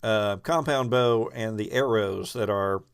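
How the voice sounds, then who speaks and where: A man running a tabletop roleplaying game, improvising narration and thinking aloud with halting pauses. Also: lowish voice, creaky, smooth. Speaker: game master.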